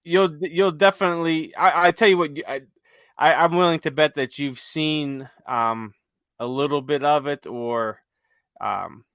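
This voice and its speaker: A man's voice: on the high side, a little slow, a bit bright, dry recording. A man co-hosting a podcast, enthusiastically recommending things in a casual chat and repeating phrases for emphasis. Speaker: podcast co-host.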